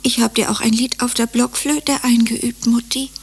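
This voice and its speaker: A woman's voice: fairly high pitch, steady tone, moderate pace, heavy background noise, natural voice. A woman voicing an eager, devoted young schoolgirl daughter in a radio play. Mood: eager, hushed. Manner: eager, childlike.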